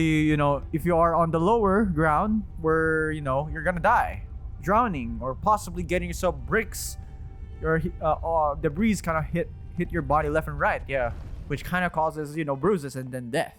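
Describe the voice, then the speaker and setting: A man with a fairly high voice comments casually on a game he is playing. He rambles and circles back over his points, thinking aloud. Music plays behind him. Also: normal speed, a bit bright. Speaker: game streamer.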